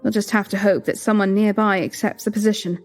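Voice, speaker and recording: Audio drama lead: composed young queen played by a woman, refined, measured, dutiful narration with music beneath. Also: mid-range voice, steady tone, natural voice. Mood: composed, worried.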